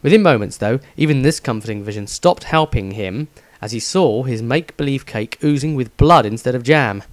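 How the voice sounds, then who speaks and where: Male narrator, medium pitch, for dramatic fantasy audiobook storytelling. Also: animated, moderate pace.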